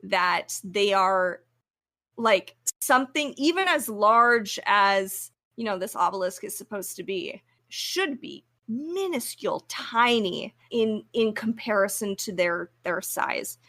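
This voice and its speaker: A woman's voice: mid-range voice, animated, slow pace, a bit bright. A woman co-hosting a podcast, chatting informally and candidly with a giggly manner. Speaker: returning podcast co-host. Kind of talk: casual chat.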